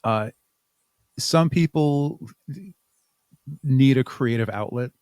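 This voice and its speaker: A man's voice: mid-range voice, slow, darkish tone, smooth, no room sound. Man chatting reflectively, dry close sound. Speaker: podcast guest, haunt makeup artist and audio drama creator. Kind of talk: casual interview talk, reflective.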